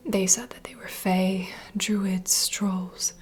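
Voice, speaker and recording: A woman playing a knowing, secretive vampire bartender in an ASMR roleplay, speaking in a soft breathy whisper as an unhurried, gentle mentor. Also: medium pitch, little pitch movement. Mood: hushed storytelling. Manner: unhurried, confiding storyteller. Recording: close whisper over clear ambient noise.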